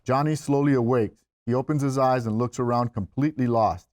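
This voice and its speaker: Guy reading out screenplay action lines at a table read, mid-pitched voice. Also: a little fast, darkish tone. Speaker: stage-direction narrator.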